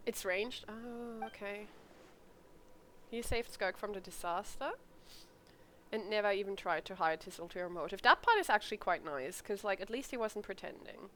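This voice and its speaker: A woman's voice: medium pitch, normal speed, a bit bright, a little hiss or hum. A woman streaming a game, chatting casually and reacting in an informal, emotive way. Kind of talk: casual chat and reactions during gameplay. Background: game music and dialogue.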